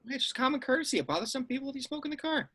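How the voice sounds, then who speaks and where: Unflappable male veteran agent, acted by a man: quick-fire, animated pitch, offhand attitude. Sci-fi table read. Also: husky, heavily acted. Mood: nonchalant. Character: nonchalant, deadpan male secret agent veteran.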